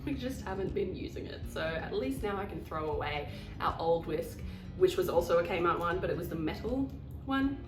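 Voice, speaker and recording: A woman's voice: fairly low pitch, echoey room, distant mic. A woman with a cold and a nasal voice, chatting casually about her shopping haul, rambling and poking fun at herself. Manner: chatty and rambling, self-deprecating. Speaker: vlogger.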